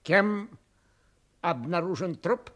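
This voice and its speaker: An actor playing an authoritative police commissioner in an audio drama, deliberate and commanding. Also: male voice, high voice, lively intonation, fairly bright tone, full character voice. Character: brisk, authoritative police commissioner taking charge.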